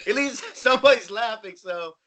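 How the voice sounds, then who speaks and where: A man's voice: extremely high pitch, animated, moderate pace, bright, forceful tone. A man co-hosting a podcast in casual chat, rambling in a self-deprecating way. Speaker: podcast co-host.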